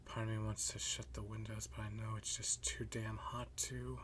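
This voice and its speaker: A man playing a weary, jealous math teacher and best friend in a roleplay monologue, halting and muttering with a breathy voice. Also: lowish voice, little pitch movement, fairly bright tone, slight background noise, natural voice. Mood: hurt, upset. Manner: halting, muttering, frequent sighs. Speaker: audio roleplay performer. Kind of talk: slow roleplay monologue to the listener.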